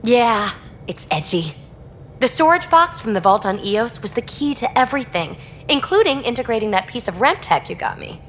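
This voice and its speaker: A woman playing an excitable, informal, geeky young scientist in a video game. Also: fairly high pitch, normal speed, a little hiss or hum. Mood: eager. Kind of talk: video game dialogue acting.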